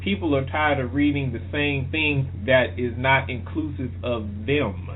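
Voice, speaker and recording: A man's voice: mid-range voice, fairly even intonation, unhurried. A man hosting a casual radio interview, talking informally and emphatically over a phone line. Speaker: radio show host interviewing a guest. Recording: tinny phone line with hiss.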